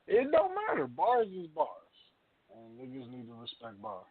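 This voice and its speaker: A man's voice: fairly high pitch, wildly swooping pitch, moderate pace, slightly rough. A man co-hosting a casual radio show, giving opinions emphatically and repeating phrases for punch. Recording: thin phone-like audio.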